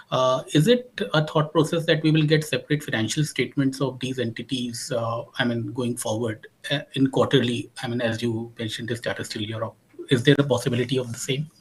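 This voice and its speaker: Slightly husky male analyst with questions. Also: medium pitch, rough recording. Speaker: financial analyst. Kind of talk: asking questions on an earnings call.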